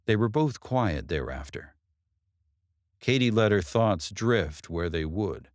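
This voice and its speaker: A man's voice: lowish voice, animated, slow, no echo, clean studio sound. A man reading a novel aloud as an audiobook narrator, in scripted storytelling style.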